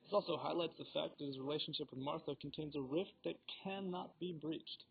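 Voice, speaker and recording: Teenage boy, gravelly voice, podcast host, formal and a bit theatrical, dull lo-fi recording with faint hiss. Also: on the high side, a little fast. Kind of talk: scripted school podcast discussion.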